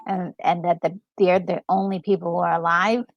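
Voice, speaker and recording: A woman's voice: fairly low pitch, flat delivery, normal speed, highs cut off. A woman co-host chatting casually as she reacts to a game.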